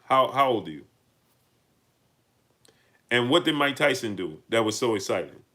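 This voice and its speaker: A man's voice: medium pitch, expressive, normal speed, sharp tone. A man with a New York accent hosting a live stream, greeting viewers and reading out their super chats. He talks emphatically, repeats himself and builds to heated peaks. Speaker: livestream host.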